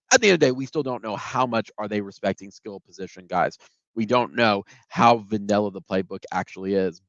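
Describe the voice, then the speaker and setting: Man, stuffed-up head cold, low voice, rambling casual recap, muffled dry recording. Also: fairly bright tone, no room sound. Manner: conversational, many ums and restarts. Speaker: sports podcast host. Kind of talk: casual solo game recap.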